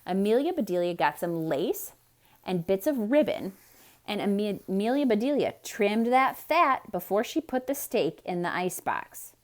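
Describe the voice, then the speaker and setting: A woman reading a children's story aloud in a theatrical, expressive way, speaking directly to young listeners with explanatory asides. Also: medium pitch, a little slow.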